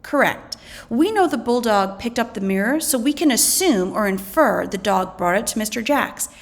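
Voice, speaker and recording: Higher-pitched woman reading a lesson aloud. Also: animated, moderate pace. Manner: instructional, explains and poses questions. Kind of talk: scripted educational read-aloud narration.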